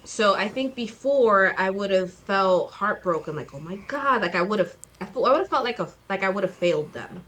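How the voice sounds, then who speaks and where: A woman in her thirties, a guest author chatting casually in an interview, talking fast with lots of fillers and acted-out quotes. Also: medium pitch, lively intonation, a bit bright, a little hiss or hum. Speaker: guest, children's book author.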